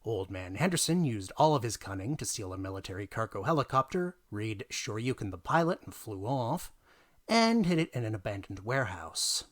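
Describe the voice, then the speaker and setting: A man narrating a dramatic reading of a tabletop gaming story, theatrical and emphatic, pausing for effect. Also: lowish voice, sing-song.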